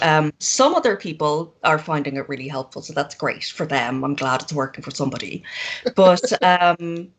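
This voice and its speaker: An Irish woman chatting as a guest, rambling and self-deprecating, laughing often between thoughts. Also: sits low. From Ireland. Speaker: guest zine maker. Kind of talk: casual video-call interview.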